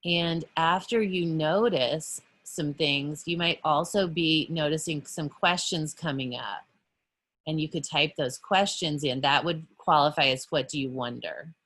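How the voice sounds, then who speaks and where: A woman art educator leading teachers through an online workshop on a video call, explaining patiently with frequent pauses and self-corrections. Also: low-pitched, fairly bright tone.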